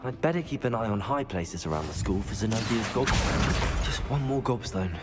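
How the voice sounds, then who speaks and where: Man playing a lively, composed British student adventurer. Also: lowish voice, moderate pace, over music, close to an everyday voice. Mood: musing. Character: polite, composed, helpful teenage student adventurer. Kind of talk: video game cutscene acting.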